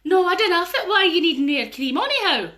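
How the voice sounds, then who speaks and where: Gossipy neighbour lady, squeaky excited chatter, very animated, as a storyteller puts her on. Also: brisk, full character voice.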